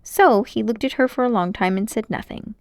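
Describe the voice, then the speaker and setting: A woman reading a children's story aloud as narrator, in an expressive storytelling style. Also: on the high side, a little fast, dry recording, studio quality.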